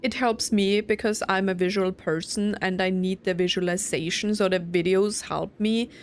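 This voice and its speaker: A woman's voice: medium pitch, fairly even intonation, normal speed, a little hiss or hum. A woman giving casual gameplay commentary, thinking aloud and reacting chattily as she plays. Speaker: game streamer.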